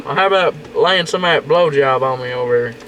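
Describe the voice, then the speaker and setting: A man's voice: mid-range voice, a little fast. A man goading and taunting someone in crude prank chat over a CB radio, often repeating what others say. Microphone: CB radio handset. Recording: loud static over CB radio.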